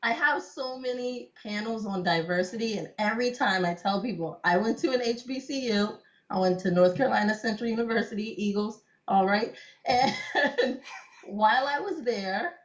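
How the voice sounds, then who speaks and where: A woman's voice: mid-range voice, moderate pace. Chatty young woman, dull lo-fi sound. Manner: chatty storyteller, laughs often, many "like"s. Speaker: cosplayer guest. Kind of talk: casual interview chat.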